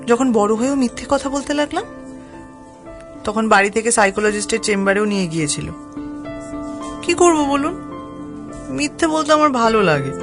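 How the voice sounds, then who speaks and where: A woman playing a feisty, teasing young woman who is quick to scold and likes to fib, in a romantic comedy audio drama. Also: fairly high pitch. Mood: confiding. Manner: teasing, quick to scold, mixes in English exclamations. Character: feisty, teasing young woman, sharp-tongued, confessed playful fibber.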